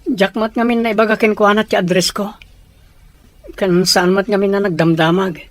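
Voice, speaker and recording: A woman's voice: medium pitch, fairly even intonation, normal speed, dry recording, close to an everyday voice. A woman playing a reluctant, exasperated young man in an Ilocano audio drama, protesting earnestly. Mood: worried.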